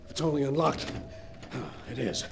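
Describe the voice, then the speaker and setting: An actor playing a tough, menacing American gangster in a 1940s radio drama, talking in clipped, hard-boiled slang. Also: male voice, mid-range voice, expressive, a little fast, background noise, natural voice. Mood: desperate. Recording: vintage 1940s radio recording.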